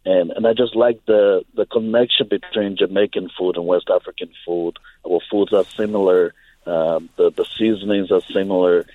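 Deep-voiced man from Liberia, on a phone line. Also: steady tone, normal speed, dry recording. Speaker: caller.

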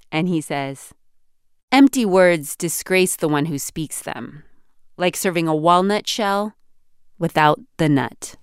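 A woman of Iranian heritage co-hosts a podcast in a smooth, slightly low voice. She banters playfully and asks interview questions, and the recording is close and dry. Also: no room sound. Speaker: podcast co-host. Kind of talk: casual chat and interviewing.

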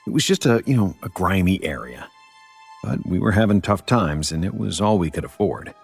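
A man's voice: low voice, animated, normal speed, gravelly, husky, faint music behind, heavily acted. An actor playing a grown man who recounts an uneasy childhood memory in a colloquial, wry way, as first-person horror storytelling. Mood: uneasy, wry reminiscing.

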